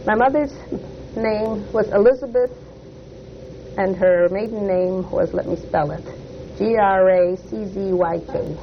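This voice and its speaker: An older speaker from Detroit, whose was Polish, tells a family story slowly and hesitantly. The voice is gravelly and sits at a middling pitch. It comes through a muffled, lo-fi recording with steady audible hiss. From Detroit, United States. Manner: hesitant, with frequent "uh" pauses and self-corrections. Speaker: oral history interviewee. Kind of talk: slow, reflective life-story telling. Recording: muffled lo-fi tape with clear hiss.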